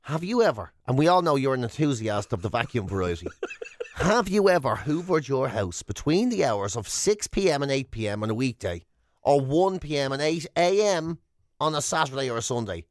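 A man's voice: medium pitch, sing-song, moderate pace, no echo, studio quality. An Irish man co-hosting a radio show, bantering comically with a teasing, mock-accusatory way of talking. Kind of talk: bantering comedic chat. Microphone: close studio microphone.

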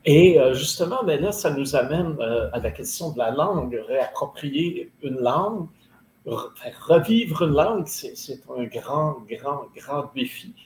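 A man hosting a podcast, asking long questions in a halting way full of filler sounds. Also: a little high, lively intonation, darkish tone. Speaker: podcast host. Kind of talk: interview questions. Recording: roomy recording, faint noise.

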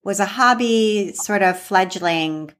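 A woman's voice: medium pitch, takes it slowly, a bit bright. A woman hosting a casual interview, asking questions and responding in an informal, affirming way.

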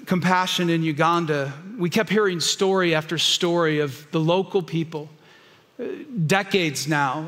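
Man, pastor, high bright voice, heartfelt storytelling, church hall reverb. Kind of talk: church service introduction. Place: church sanctuary.

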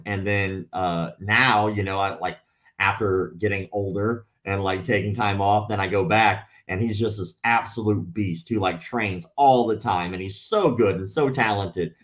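Animated man in his thirties telling stories. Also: on the low side, moderate pace. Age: 30s. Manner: animated storyteller, acts out quotes. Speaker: podcast co-host and striking coach. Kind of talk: casual chat.

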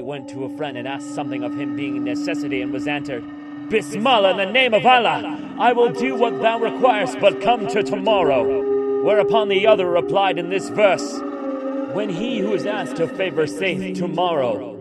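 An actor playing a proud, touchy younger brother vizier in an audio drama, speaking in a heated, argumentative way. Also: male voice, a little high, normal speed, close to an everyday voice. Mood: indignant. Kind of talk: radio drama acting. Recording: voice over loud music, from a distance.

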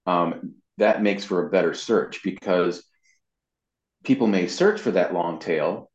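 Male interview guest, low voice, casual and explanatory, halting with repeated words, recorded in an echoey room. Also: darkish tone. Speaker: interview guest, web marketing consultant. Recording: roomy echo, dull muffled sound.

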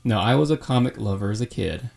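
A man's voice: lowish voice, lively intonation, quick pace, vocal fry, darkish tone. A man in his thirties co-hosting a podcast, chatting casually and rambling with fillers. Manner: rambling, with many fillers and restarts. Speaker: podcast co-host.

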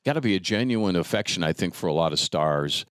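A man's voice: low voice, expressive, brisk, fairly bright tone, no room sound, studio quality. A middle-aged man hosting a podcast in a casual, chatty style. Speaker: podcast host. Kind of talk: casual chat.

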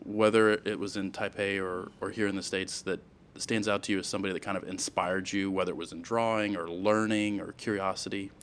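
A man's voice: deep voice, flat delivery, brisk, fairly bright tone, slight background noise. A man interviewing a guest in a casual, conversational style.

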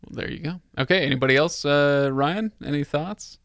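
A man's voice: a little high, moderate pace, fairly bright tone, dry recording, clean studio sound. A man hosting a casual discussion of films and games, explaining his reasoning step by step. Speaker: podcast host. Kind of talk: casual film and game discussion.

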